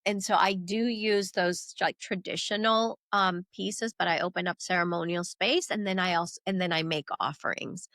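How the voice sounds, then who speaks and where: A woman's voice: lowish voice, a bit bright, dry recording. A woman from Venezuela talking as a guest in a spiritual conversation, in a reflective, loose and conversational way.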